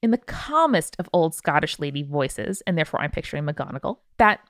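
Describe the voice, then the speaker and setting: A woman's voice: low-pitched, very animated, a little fast, no echo, clean studio sound. A woman hosting a podcast, reading out listener mail in a casual, irreverent way with wry asides. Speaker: podcast host.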